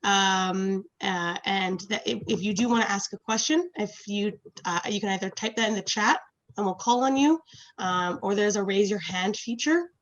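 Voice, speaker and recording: A woman's voice: medium pitch, normal speed. Muffled, informal woman on a video call. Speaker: event tech coordinator. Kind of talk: casual housekeeping announcement.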